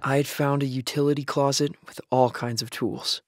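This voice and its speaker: An actor playing a young male apocalypse survivor, narrating in a measured, dry, wry way for an audio drama. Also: medium pitch, fairly even intonation, background noise, natural voice. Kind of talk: scripted first-person narration.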